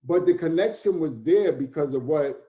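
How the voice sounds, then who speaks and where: An American man in his fifties talks through his memories in an easy, unhurried way. His voice is mellow and warm, and he laughs easily. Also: fairly high pitch, lively intonation. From United States. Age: 50s. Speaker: DJ and hip house artist. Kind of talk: casual conversation.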